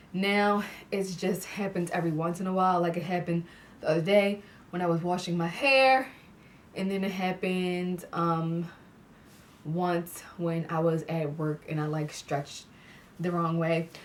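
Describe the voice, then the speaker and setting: A woman's voice: lowish voice, recorded from a distance. A young woman chatting casually to camera in a vlog, stuffy-nosed and laughing often, filling her talk with "like". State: congested, clears throat. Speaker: vlogger. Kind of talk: casual pregnancy update vlog. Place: indoor room with fairy lights.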